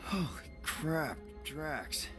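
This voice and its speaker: A man's voice: a little high, sing-song, drawn-out words, music in the background, heavily acted. A man playing a laid-back, exasperated space team leader in a video game, speaking casually and wearily. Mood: groggy, annoyed. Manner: casual, weary. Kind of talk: naturalistic video game acting.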